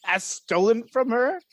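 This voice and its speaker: A man playing a scheming, falsely honest soldier in a Shakespearean radio drama, speaking in a measured, insinuating manner. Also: very high voice, big pitch swings, no echo, full character voice. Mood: curt, gloating. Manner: insinuating, measured, feigned reluctance.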